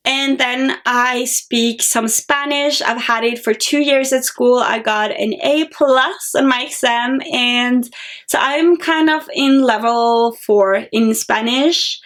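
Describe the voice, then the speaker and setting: A woman's voice: sits high, a bit bright. Young Norwegian woman chatting in a vlog. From Norway. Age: young adult. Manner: chatty, reads out viewer questions and answers at length. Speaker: beauty vlogger. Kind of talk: casual Q&A vlog.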